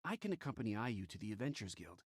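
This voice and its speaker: An actor playing a dutiful young pharmacy apprentice in a video game, polite, careful and earnest. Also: male voice, lowish voice, sing-song, very fast, slightly rough. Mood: earnest, advising.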